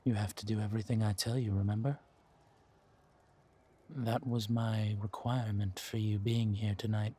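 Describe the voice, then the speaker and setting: A man's voice: lowish voice, level tone, moderate pace, pauses often, dark tone, husky. A man acting a menacing, seductive villain king in a roleplay audio drama, with a theatrical, commanding drawl.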